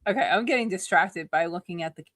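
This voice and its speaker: Woman, bright voice, dry sound, effusive, casual interview host. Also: lowish voice, fast talker, no echo.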